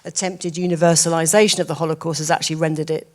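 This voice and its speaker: A woman's voice: deep voice, little pitch movement, quick pace, a little mellow. A woman chairing a symposium, polite but firm as she steers the discussion. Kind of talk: moderating a discussion.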